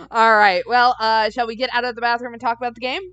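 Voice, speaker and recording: A woman's voice: a little high, lively intonation, fairly quick, a bit bright, dry recording, lo-fi sound. Easygoing woman recapping a game. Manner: easygoing, wry, recaps the plot. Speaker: let's play co-host. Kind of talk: casual gaming chat and story recap.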